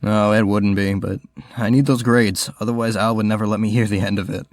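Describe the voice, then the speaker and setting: A man's voice: on the low side, steady tone, brisk, no room sound, studio quality, close to an everyday voice. A young man playing a serious, reserved rich teenage boy in an audio drama, speaking in an earnest, understated and slightly hesitant way. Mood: matter-of-fact.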